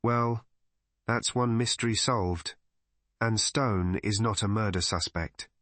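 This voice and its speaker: A man playing a courteous, measured village vicar in an audiobook mystery. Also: lowish voice, fairly bright tone, no echo. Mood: thoughtful.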